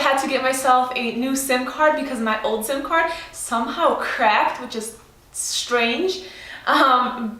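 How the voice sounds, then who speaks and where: A woman's voice: on the high side, sharp tone, reverberant. Chatty woman with Polish-Chicago accent. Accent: Polish mixed with Chicago. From Poland. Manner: friendly, chatty, with fillers. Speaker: vegan lifestyle vlogger. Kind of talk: casual cooking vlog with voice-over.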